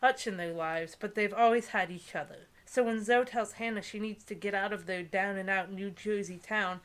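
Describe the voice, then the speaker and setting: A woman's voice: medium pitch, moderate pace. A woman co-hosting a comedic book show, mixing dramatic reading aloud with casual, sarcastic commentary.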